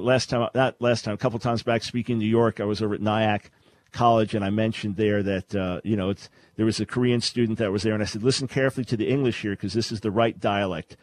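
A man hosting a call-in radio show, explaining Bible languages in a scholarly way with frequent restarts. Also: lowish voice. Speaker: radio talk show host.

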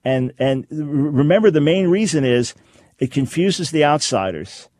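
A man's voice: fairly high pitch, animated, darkish tone, dry recording. Man hosting a call-in radio show. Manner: measured, explanatory, repeats for emphasis. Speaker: radio talk show host. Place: radio studio. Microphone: studio microphone.

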